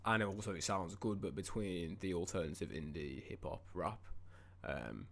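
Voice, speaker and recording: A man's voice: low voice, moderate pace, vocal fry, a bit bright. A man, co-hosting a podcast in informal, rambling, reflective chat. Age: 20s. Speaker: podcast co-host. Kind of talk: casual chat.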